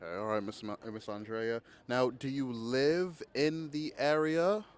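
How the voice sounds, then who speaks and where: A man interviews a guest in a casual, friendly way. He affirms her answers often with okays. His pitch sits in a middle range. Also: unhurried, smooth.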